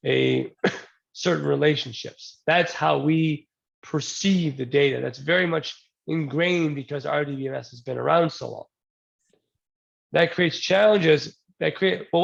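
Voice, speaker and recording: A man with a very gravelly, slightly high voice presents a technical topic over a video call. He is getting over a cold and keeps a loose, joking manner with frequent ums. The sound is dull and lo-fi. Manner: relaxed, joking, many ums and restarts. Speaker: presenter at a user group talk. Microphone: new desk mic, then a headset.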